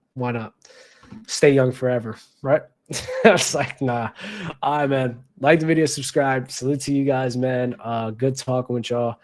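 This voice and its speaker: A man's voice: medium pitch, darkish tone. A young adult man hosting a basketball talk show, giving blunt casual opinion commentary with slang and lots of repetition for emphasis.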